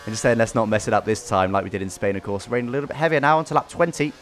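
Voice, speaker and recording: A man's voice: fairly low pitch, rapid-fire, slight background noise. A man giving casual, explanatory commentary over his own racing game race, recapping as he goes.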